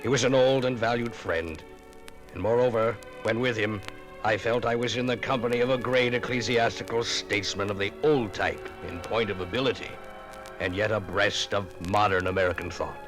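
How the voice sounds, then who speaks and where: An actor playing an elderly former American president giving a solemn, formal tribute in 1950s radio documentary style. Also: male voice, fairly low pitch, normal speed, fairly bright tone, lightly acted, low-quality recording. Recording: 1950s radio recording, music underneath.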